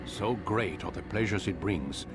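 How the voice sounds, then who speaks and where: An actor playing a commanding, calculating mentor of medieval assassins in a video game, speaking in a measured, formal way. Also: male voice, deep voice. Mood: measured, knowing. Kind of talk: video game drama acting. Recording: loud game music under the voice. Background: video game music.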